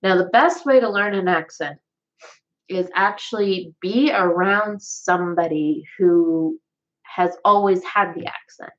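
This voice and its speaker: A woman's voice: fairly low pitch, measured pace. A woman with a Southern US accent, chatting casually and rambling on a livestream, with lots of fillers and restarts. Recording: dull lo-fi sound.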